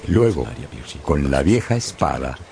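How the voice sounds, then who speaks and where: Narrator for radio drama: man, very low creaky voice, animated theatrical storytelling with pointed pauses. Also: a little hiss or hum.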